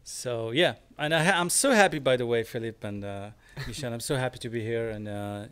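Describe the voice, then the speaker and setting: A man in his forties from Morocco with a Moroccan accent, a guest composer in a casual studio interview, talking haltingly and restarting as he searches for words. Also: medium pitch, a bit bright, clear, resonant tone. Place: small studio with screens. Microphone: studio mic on stand, headphones.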